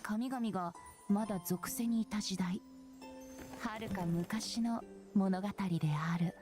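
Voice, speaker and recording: A woman's voice: mid-range voice, moderate pace, close to an everyday voice. Woman as a playful young male bard over music. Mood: lyrical storytelling. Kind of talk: storytelling game trailer voice acting in Japanese. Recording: voice under clear trailer music.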